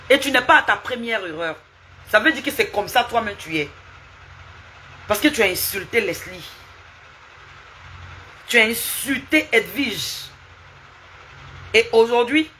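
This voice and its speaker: A woman's voice: on the high side, fairly bright tone, slight background noise, poor audio. A woman live streaming, lecturing and scolding someone with repeated rhetorical questions and asking viewers for hearts.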